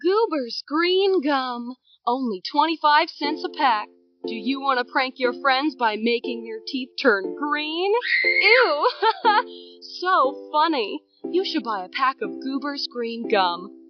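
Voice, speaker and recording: Small-town radio pitchwoman: cheery, high-leaning, old-time advert style, dull audio over music. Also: animated, slow. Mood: perky, selling.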